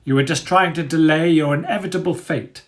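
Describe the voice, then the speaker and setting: A man's voice: fairly high pitch, brisk, voice pushed up, close to an everyday voice. A man voicing a menacing male dog who leads wild animals, declaiming accusations in a storybook reading. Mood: scornful.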